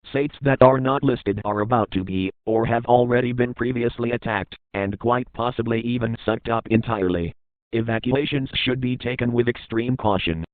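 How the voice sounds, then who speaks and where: A man reads a scripted emergency broadcast as an alert announcer, formal, measured and impersonal in delivery. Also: mid-range voice, no echo. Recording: narrow phone-like band, dry.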